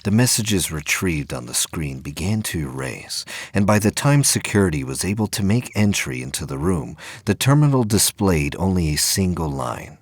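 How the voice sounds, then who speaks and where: A man narrating a dramatic science fiction story in a measured, grave way. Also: deep voice, very animated, breathy edge, dry recording, studio quality.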